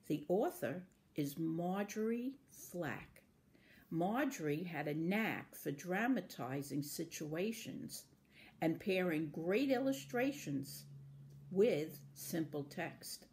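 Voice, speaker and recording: A woman's voice: sits low, animated, takes it slowly, a little mellow. A woman in her sixties reading out a scripted quiz question as a librarian, recorded indoors at home. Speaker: librarian posing a trivia question. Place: small indoor room at home.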